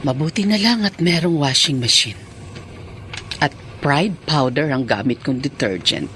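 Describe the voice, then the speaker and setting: A woman's voice: sits low, animated, measured pace, fairly bright tone, a little hiss or hum. An actress playing a dutiful, long-suffering middle-aged stepmother in a Filipino radio drama. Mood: contented.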